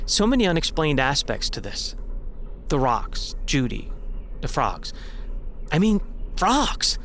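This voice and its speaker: A man's voice: on the high side, big pitch swings, fairly bright tone, a little hiss or hum, exaggerated voice. An actor playing Marco, an earnest young man who stammers as he voices a sense of dread. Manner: halting, stammers when worried.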